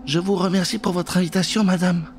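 A man's voice: high voice, level tone, fairly quick, slight background noise, voice pushed up. A man voicing a polite, cautious girl, a responsible older sister, in a children's Halloween story. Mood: polite, wary.

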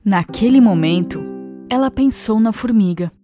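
A Brazilian woman narrating a scripted children's fable in an expressive storytelling style. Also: mid-range voice, normal speed. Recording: music behind the voice.

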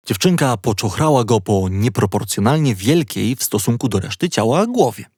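A man narrating a children's story in an expressive, playful storytelling manner. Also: medium pitch, slightly rough, no echo, studio quality.

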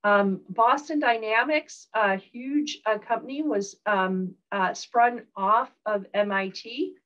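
A woman professor with a Southern US accent giving a recorded online lecture, relaxed, with frequent ums and uhs. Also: fairly high pitch, takes it slowly, highs cut off.

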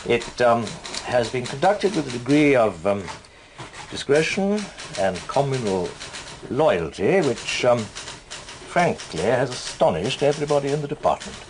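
An actor playing a stiff senior government official in a radio drama, dictating formally with hesitant, measured phrasing. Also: male voice, mid-range voice, sing-song, background noise.

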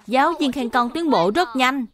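A woman's voice: sits high, lively intonation, normal speed, fairly bright tone. A woman voicing a kind, sincere young schoolboy in a cartoon dub, earnest and childlike. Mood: cheerful. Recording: music behind the voice.

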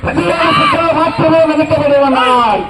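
A man commentating on a rural race as live announcer, calling out excitedly with drawn-out whoops and repeated calls. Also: very high-pitched. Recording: noisy echoing loudspeaker outdoors, loud crowd. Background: loud crowd and noise.

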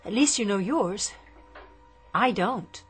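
Animated, earnest young woman. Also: mid-range voice, slow, faint music behind. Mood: earnest, wistful. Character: sheltered, discontented young adult daughter, questioning, rebellious. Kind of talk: radio drama acting.

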